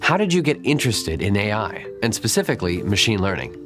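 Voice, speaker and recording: Lively male host over music. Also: lowish voice, fast talker. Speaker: show host, interviewer. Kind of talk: scripted voice-over questions.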